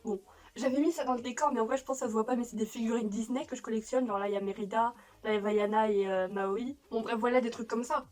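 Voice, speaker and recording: Young French woman vlogger, rapid and self-mocking chat from her room, bright-ish higher voice. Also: steady tone. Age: young adult. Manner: chatty, self-mocking, frequent laughs and restarts. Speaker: YouTuber. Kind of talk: casual vlog chat. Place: bedroom at home.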